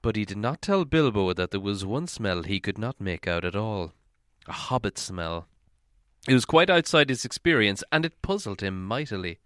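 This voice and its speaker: A man reading a story aloud as an expressive audiobook narrator. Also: on the low side, moderate pace, dry recording, clean studio sound.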